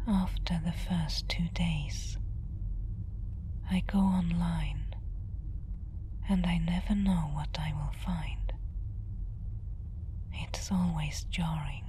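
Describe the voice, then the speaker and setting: A woman acts out a world-weary female space explorer, musing wistfully in an ASMR roleplay. Her whisper is breathy and lowish, and she speaks with extreme slowness. An ambient bed of noise sits behind her words. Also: fairly even intonation. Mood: pensive. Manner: slow, hushed, contemplative. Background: ambient soundscape.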